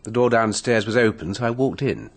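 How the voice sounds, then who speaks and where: An actor playing a breezy, smug English cousin in a British radio mystery, with an English accent and a flippant, self-assured manner. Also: male voice, on the low side, steady tone, a little fast. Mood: breezy, sly.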